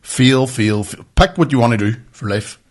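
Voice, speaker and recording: A man's voice: mid-range voice, lively intonation, moderate pace. A man in his thirties with a Northern Irish accent, chatting casually and swearing freely in a blunt, emphatic way as a podcast host. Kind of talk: casual banter, animated storytelling.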